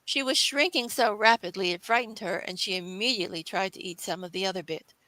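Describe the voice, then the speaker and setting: A woman's voice: medium pitch, brisk, bright, forceful tone, no room sound, rough recording. A woman reading scripted storybook narration as the narrator of a classic tale.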